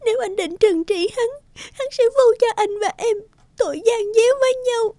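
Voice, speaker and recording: A woman voicing a meek, ill-treated young village wife, pleading and submissive, in an animated folktale. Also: extremely high pitch, moderate pace, no echo. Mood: tearful.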